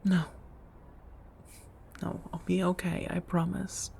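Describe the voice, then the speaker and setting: A woman playing a gentle, guarded, centuries-old vampire roommate in a soft-spoken ASMR roleplay, with measured, formal phrasing and endearments. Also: on the low side, little pitch movement, gravelly, a little hiss or hum, full character voice. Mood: reassuring. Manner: measured, formal wording with endearments, hesitant confessions.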